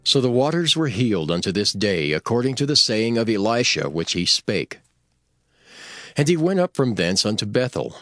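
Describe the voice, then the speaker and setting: A man narrating scripture as a dramatized audio Bible, in a measured, expressive storytelling manner. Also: lowish voice, no echo. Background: background music.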